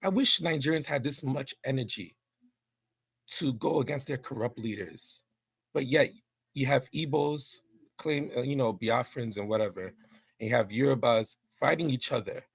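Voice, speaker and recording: A man's voice: medium pitch, moderate pace, creaky, breathy edge, dry recording. A man arguing indignantly in an online debate, emphatic and repeating himself. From New York City. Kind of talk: heated debate.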